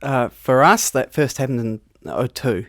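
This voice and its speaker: A man's voice: medium pitch, unhurried, fairly bright tone, no echo, clean studio sound. Easygoing New man, cellist guest. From New Zealand. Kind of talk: relaxed interview answers. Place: radio studio.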